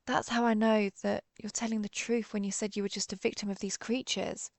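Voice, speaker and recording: A woman playing a cool, menacing, centuries-old vampire hunter in a roleplay audio drama, deliberate and sardonic as she interrogates the listener. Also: mid-range voice, level tone, creaky, no echo, lightly acted. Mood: coolly threatening. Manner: deliberate, interrogating, dryly threatening.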